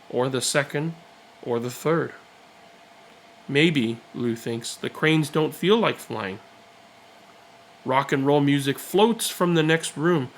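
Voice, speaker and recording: A man reading a children's picture book aloud, with expressive, deliberate and dramatic phrasing. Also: a little high, a little hiss or hum. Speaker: read-aloud storyteller.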